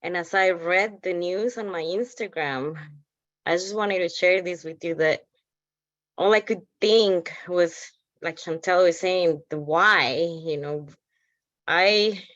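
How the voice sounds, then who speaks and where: Woman, from El Salvador, personal testimony, slow delivery, lowish pitch, earnest, halting, video call. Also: a bit bright, no echo. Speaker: activist organizer. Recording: slightly muffled, dry call audio.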